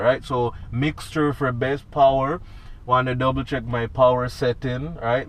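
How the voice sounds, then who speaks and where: A man's voice: mid-range voice, a bit bright, a little hiss or hum. A man with a Jamaican accent, a student pilot talking through a cockpit checklist in a casual instructional vlog and often asking "right?". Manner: talks through a checklist, tagging lines with "right?". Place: inside a small airplane cockpit on the ground.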